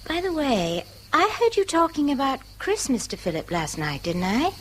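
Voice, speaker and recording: A woman playing a sharp-tongued, snobbish, impatient rich wife in a radio drama. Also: high voice, big pitch swings, moderate pace, a little hiss or hum. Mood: cool, pointed. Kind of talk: British stage-style radio drama acting.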